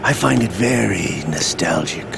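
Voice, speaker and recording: An actor playing a smug, menacing older male villain in a video game, with a gravelly voice and a mocking, ominous manner. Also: deep voice, animated, a little slow, background noise, full character voice. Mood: smug menace. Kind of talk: video game cutscene voice acting.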